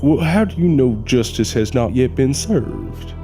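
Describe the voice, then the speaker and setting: An actor playing a folksy Southern mortician and spirit medium in an audio drama, courteous and hesitant with drawn-out phrasing. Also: male voice, medium pitch, lively intonation, mellow. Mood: gentle, resigned. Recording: music under the voice.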